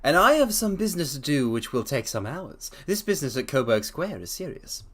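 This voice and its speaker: A man voicing a brilliant male detective in a dramatic reading, speaking in a crisp, authoritative way. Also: on the high side, big pitch swings, a little fast, fairly bright tone. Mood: brisk, confident.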